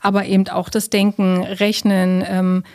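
Woman, mid-pitched voice, expert interviewee, explanatory talk, everyday examples. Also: monotone, unhurried, darkish tone.

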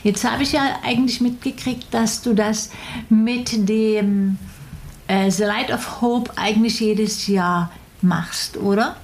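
A woman's voice: a little high, steady tone, takes it slowly, a little mellow. A woman moderating an interview, attentive and encouraging, with many short affirmations. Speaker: guest interviewer. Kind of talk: casual interview chat.

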